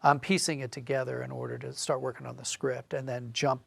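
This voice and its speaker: A man speaking as an interview guest, a writer explaining and telling stories in an animated, conversational way. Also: mid-range voice, fairly quick, creaky, slightly rough, no room sound.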